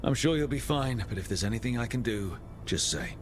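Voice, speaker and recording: An actor playing a supportive, level-headed adventuring leader in a fantasy video game, sincere and understated. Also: male voice, medium pitch, animated, a little fast, natural voice. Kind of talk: video game dialogue acting.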